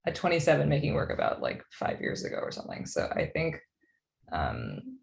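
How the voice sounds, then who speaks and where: A woman in her twenties reflecting casually as a panelist in a discussion, feeling her way through thoughts in a halting, searching manner. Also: low-pitched, wildly swooping pitch, gravelly. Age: 20s. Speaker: panelist, artist.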